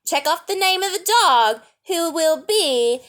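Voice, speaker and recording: A woman voicing an excited young boy in a children's storybook, exclaiming eagerly. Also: extremely high pitch, a little slow, voice pushed up, heavily acted. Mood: eager announcing. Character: excited young boy Charlie, cheering and announcing.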